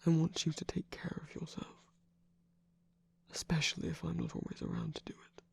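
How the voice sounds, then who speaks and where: A performer of unclear sex voicing a seductive villain lover in an intimate roleplay ASMR, teasing and protective, with a husky, breathy, often whispered voice. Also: mid-range voice, flat delivery, a little fast, full character voice. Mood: firm, caring.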